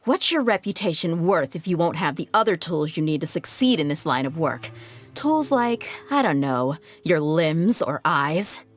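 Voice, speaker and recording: A woman voicing a tough, confident, blunt female mercenary in a video game, with a brisk, cocky manner. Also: low voice, very animated. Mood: menacing, teasing. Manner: brisk, cocky, casually menacing.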